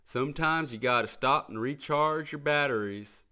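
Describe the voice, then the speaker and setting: Man voicing a raised-pitch cartoon tow truck, folksy, friendly, eager, emphatic, storybook deputy. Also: moderate pace, bright, forceful tone, smooth, natural voice.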